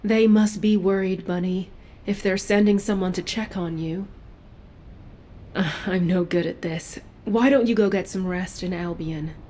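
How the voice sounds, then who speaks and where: An actress playing a stern but quietly caring female special forces agent in video game dialogue, measured, dry and matter-of-fact. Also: mid-range voice, fairly even intonation, close to an everyday voice. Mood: concerned.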